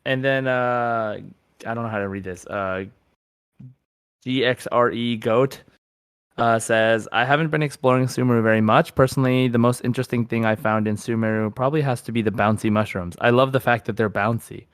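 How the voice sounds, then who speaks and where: A man's voice: mid-range voice, fairly even intonation, fairly quick, smooth, no room sound, studio quality. A man hosting a podcast, chatting casually and reading out listener comments in an easygoing way. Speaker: podcast host.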